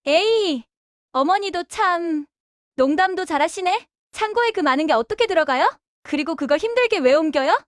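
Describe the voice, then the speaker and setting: Woman acting a very high-pitched, brash daughter-in-law. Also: animated, normal speed, no room sound, studio quality. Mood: dismissive, annoyed. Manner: breezy, boastful, dismissive. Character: brash, chirpy, self-satisfied daughter-in-law, oblivious and tactless. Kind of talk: Korean family audio drama acting.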